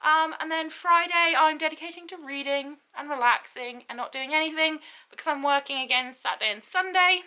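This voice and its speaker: A woman's voice: high-pitched, a little fast, hardly any pauses, bright, forceful tone, rough recording. A young woman vlogging casually to camera, rambling with many ums and repeats. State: stuffy, sore throat, lethargic. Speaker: book vlogger. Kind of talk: casual vlog talk to camera.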